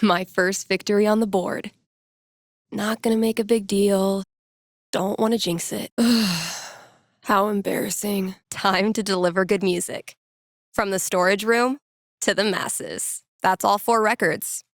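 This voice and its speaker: A woman's voice: mid-range voice, steady tone, unhurried, dry recording, close to an everyday voice. A woman playing a wry young record store worker and nerdy musician in a video game, talking casually to herself with dry sarcasm. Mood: upbeat, playful, then wry, weary, then matter-of-fact. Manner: casual, dryly sarcastic, with sighs and playful asides. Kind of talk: video game character thinking aloud.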